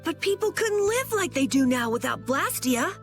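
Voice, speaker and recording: Young boy adventurer, earnest and curious, very high and animated, game dub. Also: moderate pace, faint music behind. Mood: earnest, surprised. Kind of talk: anime-style game voice acting.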